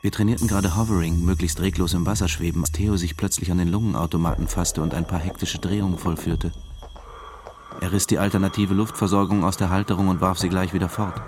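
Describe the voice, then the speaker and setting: An actor playing a detached, controlled diving instructor who narrates reflectively in a radio drama. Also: male voice, sits low, steady tone, fast talker, background noise, natural voice. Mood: matter-of-fact.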